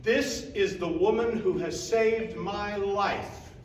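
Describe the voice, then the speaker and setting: A man playing a noble young king, speaking in a solemn, measured way. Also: high-pitched, a little hiss or hum. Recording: echoey room, mic far off.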